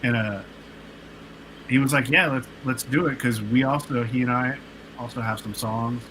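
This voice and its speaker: A man in his late forties, a guest telling stories in a relaxed, rambling way with many fillers and restarts on a podcast. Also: mid-range voice, moderate pace, a little hiss or hum, low-quality recording. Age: 40s. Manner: laid-back, rambling, lots of fillers and restarts. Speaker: guest, producer and artist. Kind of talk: casual podcast interview storytelling.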